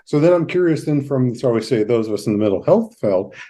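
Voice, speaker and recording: A man hosting a conversational interview, measured and attentive, offering brief affirmations between his questions. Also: medium pitch, animated, mellow. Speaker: podcast host, therapist.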